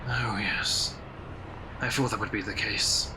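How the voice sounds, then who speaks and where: Man playing a formal gentleman, noisy ambience. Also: on the low side, steady tone, takes it slowly. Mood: guarded, concerned. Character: cautious, formal, concerned English gentleman. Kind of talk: audio drama acting. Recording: clearly noisy ambience behind voice.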